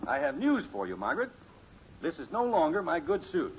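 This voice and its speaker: Animated 1950s American sitcom dad. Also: male voice, high voice, fairly quick, gravelly, slightly rough, exaggerated voice. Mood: proud, pleased. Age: middle-aged. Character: middle-aged suburban father, patient, reasonable, proud of bargains. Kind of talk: 1950s radio sitcom acting. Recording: muffled, noisy old radio recording.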